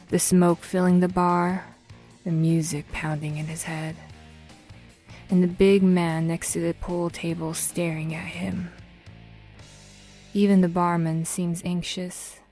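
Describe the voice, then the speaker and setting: Casting: female narrator for suspense audio drama, lowish pitch, very flat intonation, measured delivery, faint music behind.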